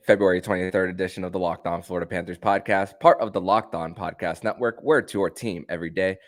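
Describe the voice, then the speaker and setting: A man hosting a sports podcast, who tends to speak haltingly and repeat words as he frames his questions. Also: low voice. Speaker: podcast host.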